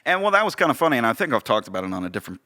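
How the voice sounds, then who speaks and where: A man with a bright voice and animated, widely moving pitch, chatting casually as a podcast co-host. He comes across as agreeable, readily affirming the other speaker while making his points. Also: very fast. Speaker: podcast co-host, theater and audio director.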